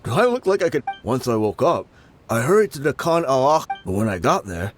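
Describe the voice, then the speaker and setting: A man's voice: mid-range voice, big pitch swings, brisk, slight background noise, lightly acted. An actor playing an easygoing, resigned young mercenary man in a video game. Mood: amused. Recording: music playing under the voice.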